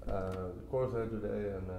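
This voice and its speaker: A man's voice: lowish voice, steady tone, normal speed, gravelly, mellow, slight background noise. A man whose first language is Dutch, hosting a podcast interview in a casual, chatty way. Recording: roomy echo, faint ambience.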